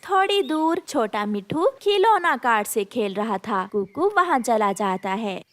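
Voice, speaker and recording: A woman's voice: a little high, very animated, a little slow, a bit bright, dry recording. A woman narrating a scripted children's story.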